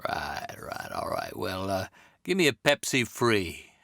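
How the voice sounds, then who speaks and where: A man's voice: sits low, sing-song, sharp tone, no room sound, clean studio sound, full character voice. A man playing a hesitant, stammering teenage boy in a comedic table read. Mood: flustered. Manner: stammering, halting.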